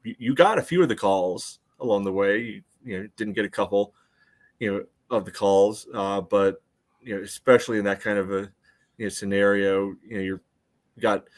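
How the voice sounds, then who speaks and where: Lowish voiced guy on a soccer podcast, casually explaining plays, lots of you knows. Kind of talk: casual sports analysis chat.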